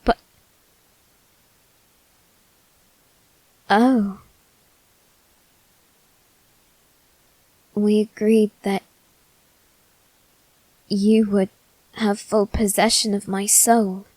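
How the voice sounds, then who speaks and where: A woman playing a wounded, possessive teenage boy aristocrat in an ASMR roleplay, speaking haltingly with long pauses. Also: mid-range voice, mellow, no echo, rough recording. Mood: confused, hesitant. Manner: slow, halting, measured delivery with long pauses. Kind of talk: ASMR character roleplay, scripted drama.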